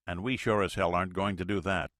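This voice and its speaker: Man doing a gruff submarine captain, low and blunt, explaining tactics to his officers. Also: steady tone, a bit bright, no echo, studio quality. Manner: blunt, profane, measured.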